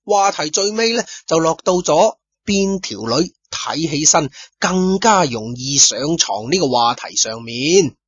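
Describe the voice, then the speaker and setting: A man's voice: on the high side, animated, measured pace, hardly any pauses, slightly rough, no echo. A man narrating a story as a storyteller, chatty with casual asides to listeners. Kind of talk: storytelling narration of a radio drama. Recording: dull lo-fi recording.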